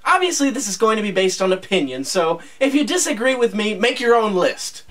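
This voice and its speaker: A woman's voice: mid-range voice, very animated, fairly quick, distant mic. A woman hosting a scripted comedic video in a playful, exaggerated manner. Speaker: video host. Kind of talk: scripted comedic intro and skit.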